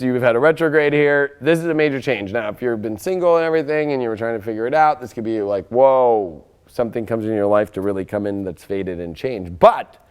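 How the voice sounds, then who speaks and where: Raspy man rambling through a tarot stream. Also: medium pitch. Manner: rambling and excitable, with frequent fillers and sudden loud outbursts. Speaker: tarot reader and astrologer. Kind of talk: casual live-stream tarot reading.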